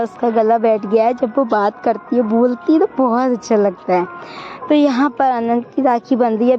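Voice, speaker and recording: Hoarse chatty woman, lo-fi audio. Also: fairly high pitch. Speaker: family vlogger. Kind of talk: casual vlog narration. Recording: dull lo-fi phone audio.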